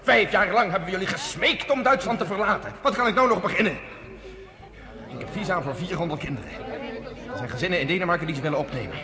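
A man's voice: high-pitched, big-room sound, a crowd in the background, natural voice. An actor playing a blunt, harried man who organizes escapes for refugees in a radio play. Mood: curt. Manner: rapid, clipped, businesslike. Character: brisk male rescue organizer, harried and blunt. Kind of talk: radio drama acting.

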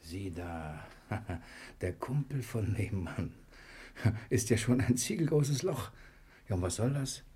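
Wry, easygoing adventurer, lively low voice. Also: male voice, slow pace. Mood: wry, curious. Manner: laid-back, chummy, wry. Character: easygoing, wily adventurer hiding out in jail. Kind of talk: 1960s German radio crime drama acting.

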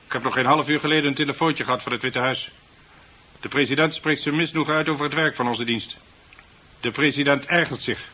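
Stern commissioner, tense husky voice, curt, demanding, old radio drama. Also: male voice, mid-range voice, steady tone, brisk, sharp tone. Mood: impatient, stern. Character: impatient, domineering male secret police commissioner. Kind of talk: 1970s radio drama acting. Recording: dull, band-limited 1970s radio recording.

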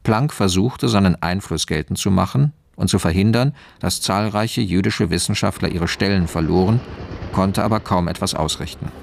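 Low, creaky dossier reader. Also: male voice, normal speed, a little hiss or hum. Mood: factual, official. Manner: dry, factual, official. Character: formal male voice reading an official dossier. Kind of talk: scripted documentary-like narration in radio drama.

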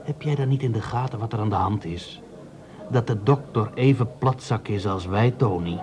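A man's voice: lowish voice, moderate pace, crowd noise, natural voice. Grumbling, blunt small-time crook, played by a man. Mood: suspicious. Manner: blunt, plain-spoken. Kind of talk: 1980s Dutch radio play acting.

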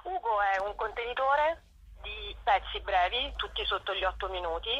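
A woman's voice: mid-range voice, moderate pace, a bit bright, slight background noise. A woman giving a spontaneous radio interview as a performer and show organiser, often searching for words. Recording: dull, phone-like radio call.

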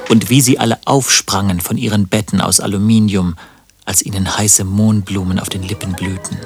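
An actor playing a wry, lovelorn antihero who tells his own story in a radio drama, deadpan and literary. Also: on the low side, normal speed, over music, close to an everyday voice. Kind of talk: radio drama narration and dialogue.

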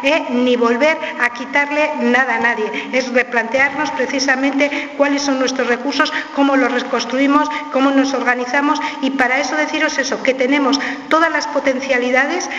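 A woman's voice: high-pitched, fairly even intonation, normal speed, few pauses, fairly bright tone. A woman arguing her points steadily on a debate panel. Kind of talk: round-table debate. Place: theatre school hall. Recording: distant mic, echoey hall, dull.

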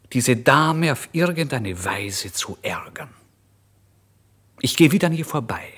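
An actor playing an impoverished, irritable and impulsive male writer, telling his story in a theatrical, emphatic radio monologue. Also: medium pitch. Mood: feverish mischief. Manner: theatrical, emphatic, swinging between hushed and loud. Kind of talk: literary first-person radio monologue, dramatised narration.

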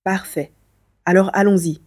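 A woman playing a determined, curious young hero in a science fiction audio drama, expressive and thinking aloud. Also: medium pitch, normal speed, natural voice.

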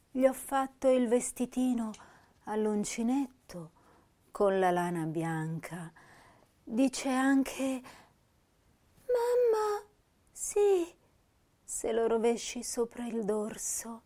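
A young woman poet reciting, really slow, highish voice, tender and hurt, hushed then swelling. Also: exaggerated voice. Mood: tender, aching. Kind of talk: dramatic poetry recitation.

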